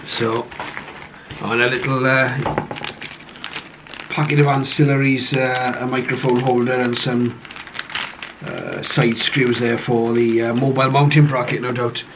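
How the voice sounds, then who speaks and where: A man giving a casual, low-key first-look commentary on a piece of equipment, speaking hesitantly and without hurry. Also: flat delivery, a little slow. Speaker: radio hobbyist reviewer. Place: conservatory, later a home radio room. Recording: clearly noisy home recording.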